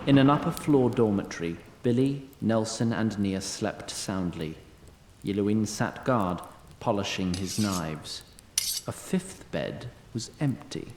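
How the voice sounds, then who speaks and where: A man's voice: fairly low pitch, lively intonation, takes it slowly, darkish tone, slight background noise, poor audio. A man narrating a scripted fantasy story in a wry storytelling manner.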